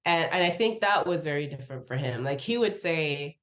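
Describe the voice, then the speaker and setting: A woman from Nigeria, speaking in a low register, tells a personal story as a podcast guest. She talks in a loose, chatty way, laughs often and slips into acted-out quotes of what people said. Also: lively intonation, fairly quick, fairly bright tone. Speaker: podcast guest, research professor. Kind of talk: casual interview storytelling.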